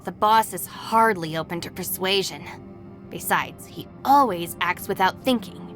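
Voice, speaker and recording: A woman's voice: lowish voice, measured pace, fairly bright tone. An actress playing a weary, sensible young woman, a gang deputy in a video game, matter-of-fact, with music behind. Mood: exasperated, fond. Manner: matter-of-fact, long-suffering. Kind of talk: game voice acting.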